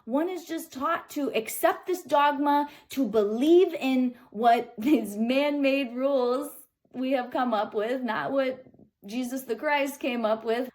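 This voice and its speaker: A woman's voice: high-pitched, a little mellow. An American woman in her thirties giving an informal spiritual reflection, earnest and emphatic, often checking in with the listener. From Kansas City, United States. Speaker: spiritual speaker and host.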